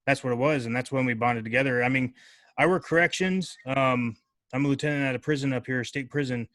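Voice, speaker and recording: A man's voice: mid-range voice, a little fast, a bit bright, no echo. A man hosting a casual podcast interview, talking loosely with plenty of filler words. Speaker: podcast host.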